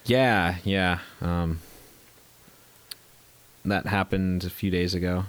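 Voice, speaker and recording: Young male podcast co-host, very low, congested with a cold, rambling chat. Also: fairly even intonation, slow, clear, resonant tone. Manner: rambling, many fillers and restarts. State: congested with a cold, stuffy and scratchy. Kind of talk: casual movie chat.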